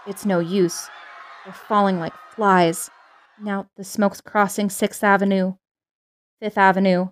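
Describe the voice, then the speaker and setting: Radio drama: female news announcer played by a woman, mid-pitched, urgent and faltering as catastrophe nears. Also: lightly acted. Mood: faltering horror. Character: doomed female radio announcer reporting an invasion live. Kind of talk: live radio news report acting.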